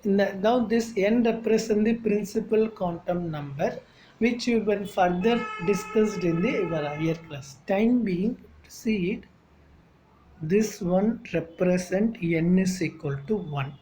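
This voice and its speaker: A woman with a Tamil accent teaching chemistry, talking slowly and checking in with her listeners. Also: medium pitch, dark tone, poor audio. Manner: slow, repeats questions like "Okay, va?" to students. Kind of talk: classroom lecture. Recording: faint background noise.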